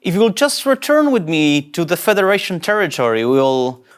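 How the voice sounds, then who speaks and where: An actor playing an insecure, excitable fake starship captain, earnest and grandiose yet easily flustered, at a sci-fi table read. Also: medium pitch, normal speed, close to an everyday voice. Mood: formal, pleading.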